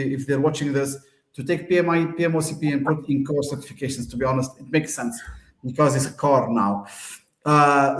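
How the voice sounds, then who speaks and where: A man from Tunisia hosts a casual chat, explaining things with plenty of uhs and false starts. His voice sits a bit high for a man. The room around him rings with echo. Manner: hesitant, many fillers and restarts. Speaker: host.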